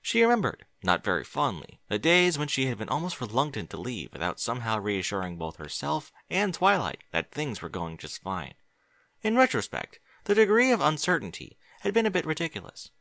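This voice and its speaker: A man narrating a fan fiction audiobook in a steady storytelling manner. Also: medium pitch, fairly quick, creaky, dry recording.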